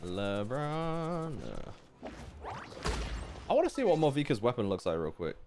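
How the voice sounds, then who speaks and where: A man's voice: medium pitch, very animated, measured pace, music in the background. A man live-streaming a game with casual, offhand, joking reaction commentary full of slang.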